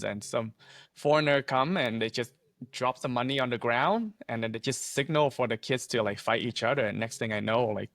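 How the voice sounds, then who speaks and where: A man's voice: mid-range voice, a bit bright, no echo. Vietnamese-born man, 30s, earnest guest on a video call, frequent fillers, dry close sound. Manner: earnest, laughs often, many fillers and restarts. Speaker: guest, insurance agency owner. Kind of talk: casual interview storytelling. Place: small home office, indoors.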